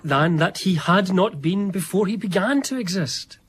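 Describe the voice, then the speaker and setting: Man playing an earnest gentleman, noisy background. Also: high-pitched, normal speed, natural voice. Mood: earnest, questioning. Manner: formal, earnest, reflective. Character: earnest, anxious, devoted gentleman biographer recalling his friend. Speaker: narrator. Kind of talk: first-person period radio drama narration.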